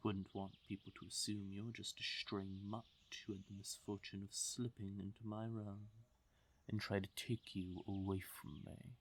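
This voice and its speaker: A man's voice: on the low side, flat delivery. A man voicing a haughty, teasing fae king of the forest in a fantasy audio roleplay, talking down to the listener with theatrical menace and dropping often into a whisper. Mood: possessive, condescending. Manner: condescending, slow purring menace, theatrical.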